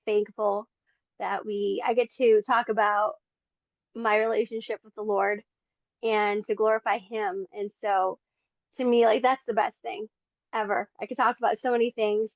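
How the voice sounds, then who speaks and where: A woman's voice: mid-range voice, level tone, normal speed, no echo, highs cut off. Earnest woman from the Philippines. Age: 40s. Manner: earnest, chatty, many fillers. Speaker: podcast guest. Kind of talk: casual faith testimony. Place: home in Las Vegas.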